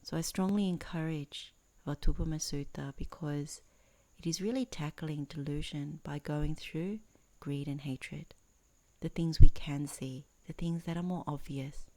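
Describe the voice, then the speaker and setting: Low, mellow woman guiding a meditation. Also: steady tone, a little slow, poor audio. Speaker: Buddhist meditation teacher. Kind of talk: guided meditation introduction, Dhamma talk.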